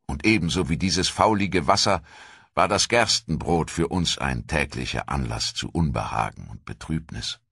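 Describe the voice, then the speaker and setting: A man reading a scripted historical documentary narration in a solemn, measured storytelling manner. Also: extremely low pitch, gravelly, a bit bright, slightly rough, dry recording, clean studio sound.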